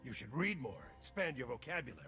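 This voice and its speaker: An actor playing a gruff, world-weary, arrogant archmage in a video game, with gravelly, sardonic, declamatory delivery. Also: male voice, mid-range voice, sing-song, quick pace, pushed and bright, soft background music. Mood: sarcastic, scornful. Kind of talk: video game character voice lines.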